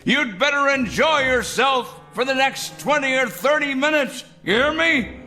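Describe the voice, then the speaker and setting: An actor playing a fanatical, self-righteous, paranoid man, ranting excitably in theatrical radio drama style. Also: extremely high pitch, a little slow, faint music behind, full character voice. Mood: furious, accusing. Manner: ranting, excitable, muttering and crooning to a pet. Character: fanatical, paranoid, self-righteous male crank obsessed with punishing evildoers.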